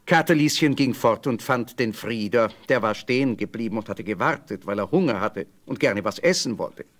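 A man's voice: mid-range voice, expressive, normal speed. A man narrating a scripted fairy tale as a storyteller.

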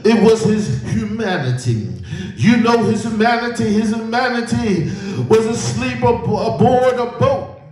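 A man's voice: extremely high pitch, a little slow, a little mellow, far from the mic. A man preaching a sermon in an emphatic, repetitive style, punctuating his phrases with devout interjections. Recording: echoey room, picked up from afar.

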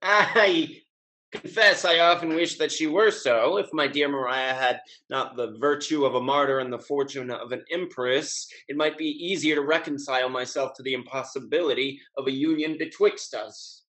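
A young man playing a merry, hard-drinking gentleman rake who declaims grandly in a classical stage comedy. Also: mid-range voice, normal speed, lightly acted. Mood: earnest. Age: young adult. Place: home room, video call.

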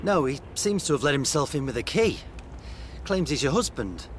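A policeman in a radio drama, brisk and reassuring. His matter-of-fact delivery has varied pitch, with noise clearly behind it. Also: big pitch swings. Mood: businesslike.

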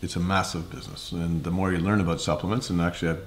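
A man in his fifties with a Canadian accent, telling his story in a casual interview in halting, hesitant phrases. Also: deep voice, flat delivery, slight background noise. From Ottawa, Canada. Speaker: investor and mentor being interviewed. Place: home kitchen, indoors.

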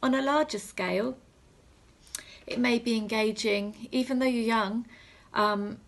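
A woman in her thirties with a husky, breathy voice, giving a gentle, reflective assembly talk as a reverend, measured and halting with pauses. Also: on the high side. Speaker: reverend leading a school assembly. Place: small room at home. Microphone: phone or webcam at arm's length.

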